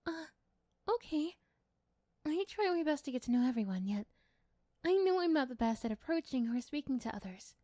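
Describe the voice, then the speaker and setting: A woman acts out a shy young female class president in a high-pitched voice. She is kind, polite and easily flustered, speaking hesitantly in a romantic audio roleplay, recorded close and dry. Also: sing-song, moderate pace, pauses often, warm tone, dry recording.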